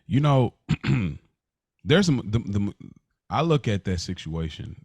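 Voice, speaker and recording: A man's voice: lowish voice, big pitch swings, takes it slowly, darkish tone, slightly rough, dry recording. An American man co-hosting a podcast, talking casually and reflectively, often repeating and rephrasing as he explains. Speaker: podcast co-host.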